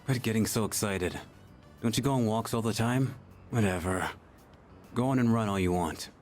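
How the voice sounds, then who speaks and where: A man plays an aloof young tough guy from a video game. His voice is low and gruff, with curt, muttered lines that hide a soft spot. Music plays faintly underneath. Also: moderate pace, lightly acted. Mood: gruff, caring. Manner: curt, muttering, laid-back. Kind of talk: English video game voice acting.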